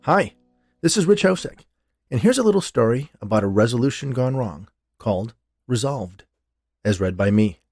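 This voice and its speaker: A man's voice: mid-range voice, lively intonation, normal speed, darkish tone, soft background music. A man narrating his own short story as a writer reading aloud.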